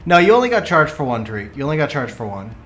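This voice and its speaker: Animated male game streamer, echoey room. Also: mid-range voice, slight background noise. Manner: animated, quick play-by-play, bursts of excitement. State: voice getting worn from long streaming. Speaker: streamer commenting on viewer replays. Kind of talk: live game commentary and viewer chat.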